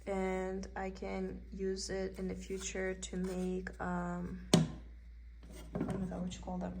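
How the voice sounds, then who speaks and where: A young woman with a Russian accent chats her way through a craft haul, meandering and thinking aloud as she goes. Her voice sits at an ordinary middle pitch, and a faint hiss runs beneath it. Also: completely flat delivery, takes it slowly, vocal fry. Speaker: cross-stitch vlogger. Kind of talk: casual show-and-tell haul. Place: indoors at a table.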